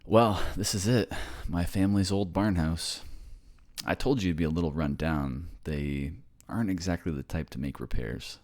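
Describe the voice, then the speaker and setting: A man's voice: low voice, normal speed, a little mellow, close to an everyday voice. A man acting in an ASMR roleplay as an earnest, reassuring boyfriend raised by ghosts, with a hesitant manner.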